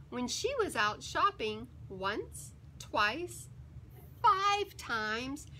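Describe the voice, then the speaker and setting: A woman storyteller with a high, bright voice reads a picture book to young children. She takes her time, leaning on key words and pausing for dramatic effect. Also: slight background noise, low-quality recording. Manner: theatrical, emphatic, with dramatic pauses. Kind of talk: expressive read-aloud for young children.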